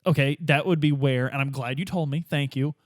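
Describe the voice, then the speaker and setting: A man's voice: mid-range voice, fairly even intonation, brisk, no echo, clean studio sound. A man playing a tabletop roleplaying game with casual, sarcastic banter, slipping half into character.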